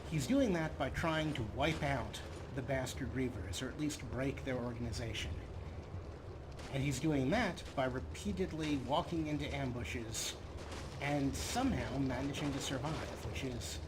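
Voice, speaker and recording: A man hosting a let's play, giving measured, wry commentary and explanations. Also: medium pitch. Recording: loud background noise and game ambience.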